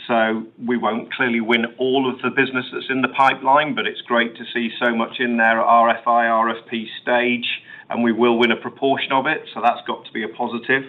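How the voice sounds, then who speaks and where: Over a narrow phone-like connection, a man speaks at a medium pitch as a company executive. He explains things in measured corporate fashion, fielding questions on an earnings call. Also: little pitch movement. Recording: muffled phone-line conference call audio.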